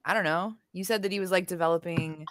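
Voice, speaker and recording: A woman's voice: fairly low pitch, quick pace, no echo, studio quality. A woman playing a tabletop game, chatting casually and a little tentatively in informal phrases. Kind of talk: casual chat during a game.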